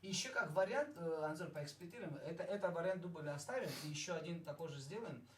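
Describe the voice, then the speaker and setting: A man's voice: on the high side, lively intonation, fast talker, slightly rough. A man giving casual, instructive direction in a recording session, repeating words as he goes. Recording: roomy, distant mic, faint noise.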